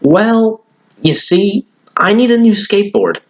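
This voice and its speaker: An excited boy of ten from a children's storybook, played by a man reading aloud. He is eager and earnest, and his lines sit a little higher in pitch. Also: a little slow. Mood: eager, hesitant.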